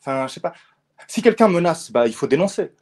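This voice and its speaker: A man's voice: a little high, sing-song, darkish tone. A man calling into a live discussion, speaking informally with slang and repeating himself as he pushes his point. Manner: fast, informal slang, repeats words when pressing a point. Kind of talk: casual debate.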